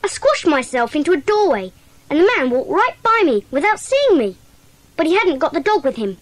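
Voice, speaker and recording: A woman's voice: high-pitched, very animated, moderate pace, no room sound. A woman playing an eager, earnest schoolboy sleuth who gives detailed reports in a children's audio drama. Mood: earnest, puzzled.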